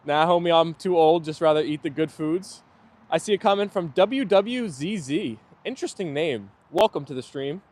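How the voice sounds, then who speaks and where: A man's voice: a little high, animated, normal speed, a little mellow. A man hosting a casual live stream outdoors, chatting in a friendly way and answering viewer comments.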